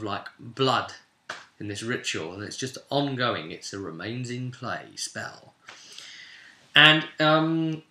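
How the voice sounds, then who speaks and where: A British man recapping a tabletop role-play session as game master in a chatty, cheeky, animated storytelling style, with casual slang. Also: mid-range voice. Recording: roomy, echoing room sound.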